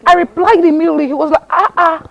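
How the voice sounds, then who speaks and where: A woman from Nigeria hosting a breakfast talk show, chatty, telling anecdotes with quick asides. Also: extremely high pitch, fairly even intonation, creaky, a bit bright. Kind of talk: studio talk show conversation. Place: TV studio.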